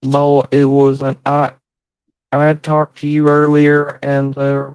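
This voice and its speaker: A man's voice: medium pitch, completely flat delivery, slow, darkish tone, clear, resonant tone, no room sound, low-quality recording. A man in a casual livestream chat, hosting and speaking in a profane, aggrieved, defensive way. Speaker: livestream host.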